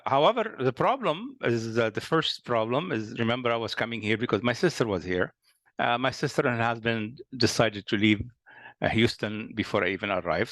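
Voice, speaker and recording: A man's voice: medium pitch, a bit bright, no echo. A Lebanese-accented man around sixty, a company founder telling his life story in a hesitant way, with many fillers and restarts. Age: about 60. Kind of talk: personal life story monologue.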